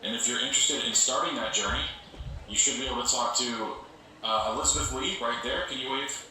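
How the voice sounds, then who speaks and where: A man's voice: mid-range voice, brisk, natural voice. A man playing a genial, showy organization leader giving an upbeat, folksy speech to members in an audio drama. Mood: upbeat, rallying. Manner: upbeat, folksy public speaker, salesy. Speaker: community engagement officer giving announcements. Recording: very echoey public-address sound with clear noise.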